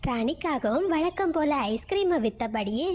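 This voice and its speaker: High-voiced woman telling a children's story. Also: lively intonation, moderate pace, a little mellow. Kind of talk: children's cartoon narration.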